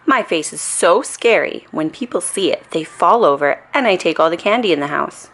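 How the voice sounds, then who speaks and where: A woman's voice: fairly low pitch, animated, normal speed, a bit bright. A woman voicing a cheeky, confident little boy in a storybook, insistent and childlike. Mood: matter-of-fact, cheeky.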